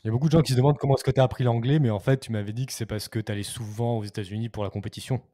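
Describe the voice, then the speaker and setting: A man's voice: mid-range voice, steady tone, very fast, mellow, no echo. A man hosting a casual live-stream chat, reading viewer questions aloud and laughing often. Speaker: host.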